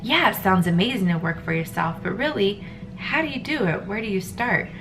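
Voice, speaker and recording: A woman with a stuffy head cold talking earnestly in a rambling personal vlog, with many pauses and ums. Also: mid-range voice, animated, over music. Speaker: family vlogger. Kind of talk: casual personal vlog monologue.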